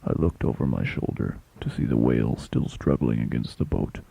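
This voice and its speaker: A man narrating a dramatic first-person sea story as a shipwrecked fishing captain, intense, theatrical and deliberate, with a gravelly, husky voice. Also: rumbling low, mellow, no room sound. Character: shipwrecked fishing captain telling his tale.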